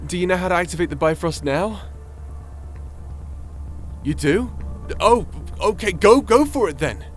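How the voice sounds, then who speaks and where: A man's voice: high voice, animated, measured pace, soft background music. A man playing an impassioned, guilt-ridden hero in a fantasy audio drama, speaking emphatically and stammering when upset. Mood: puzzled, uneasy. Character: Odin, impassioned guilt-ridden man determined to save his friends. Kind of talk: fantasy audio roleplay acting.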